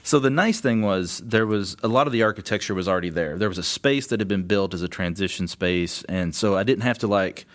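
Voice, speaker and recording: A man's voice: on the low side, fairly quick, few pauses, a bit bright. A man as a podcast guest, telling anecdotes casually and self-deprecatingly, chuckling as he goes. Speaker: podcast guest, game designer. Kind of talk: casual anecdotal interview chat.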